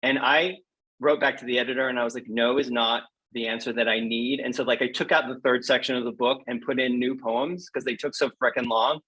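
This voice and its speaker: A man speaking as an interview guest, telling his own story in a candid, self-deprecating, conversational way with animated quoted asides. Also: medium pitch, brisk, husky, poor audio. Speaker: guest poet.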